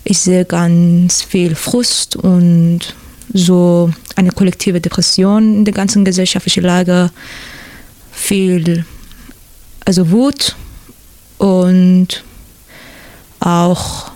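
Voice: mid-range voice, flat delivery, extremely slow, long pauses, a little mellow, no echo. A native Persian speaker from Iran with a Persian accent, a guest in a radio discussion who searches for words. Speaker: interview guest. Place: radio studio.

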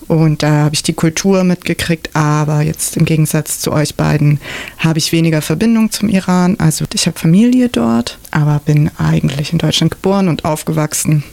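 A guest from southern Germany in a casual, reflective radio discussion. The mid-pitched voice has plenty of vocal fry and is recorded dry in a studio. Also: brisk, a little mellow, no echo. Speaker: interview guest.

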